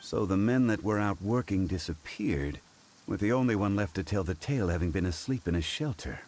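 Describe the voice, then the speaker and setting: A man voicing a calm, learned, dignified figure in a video game, speaking formally and deliberately. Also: low-pitched, slight background noise. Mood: explaining.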